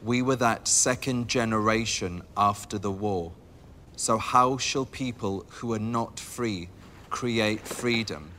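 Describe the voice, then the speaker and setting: A man acting as a former activist in a film, pondering freedom with philosophical care. He speaks slowly, in a long reverb over a faint rumble. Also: bright, forceful tone. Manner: deliberate, philosophical. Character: male former activist of the post-war generation, pondering freedom.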